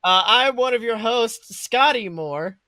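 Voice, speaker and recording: A man co-hosting a casual movie review chat, talking in an excitable, slangy way with casual swearing. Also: very high-pitched, measured pace, no room sound. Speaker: podcast co-host.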